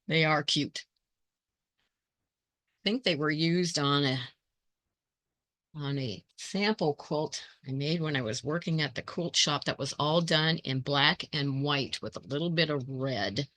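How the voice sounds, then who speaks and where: A hoarse woman hosting her quilting channel, thinking aloud in casual chat as she sorts scrap fabric and greets viewers by name. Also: deep voice, slow, long pauses, fairly bright tone, no room sound.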